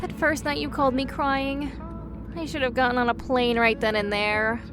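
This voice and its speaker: A woman's voice: sits high, little pitch movement, moderate pace, over music, natural voice. A woman playing a caring, teasing young best friend in an audio roleplay, chatty and a little hesitant as she talks. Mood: playful, apologetic. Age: young adult. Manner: chatty, hesitant, self-correcting, playfully teasing. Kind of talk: interactive audio roleplay acting.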